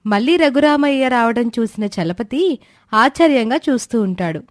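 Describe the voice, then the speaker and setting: A woman's voice: a little high, lively intonation, moderate pace, no room sound, studio quality. A woman narrator reading a scripted moral story between the characters' dialogue.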